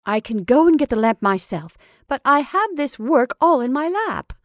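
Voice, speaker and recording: A woman's voice: high voice, very animated, normal speed, dry recording, clean studio sound, pitched above the performer's own voice. Woman playing an impatient, peremptory scolding woman. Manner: insistent, scolding. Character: impatient, peremptory married woman busy with sewing.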